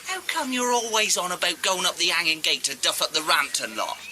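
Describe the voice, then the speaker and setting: An actor playing an eager, earnest young village lad with a rural southern English accent in a radio play. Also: male voice, high voice, animated, brisk, pushed and bright, a little hiss or hum, exaggerated voice.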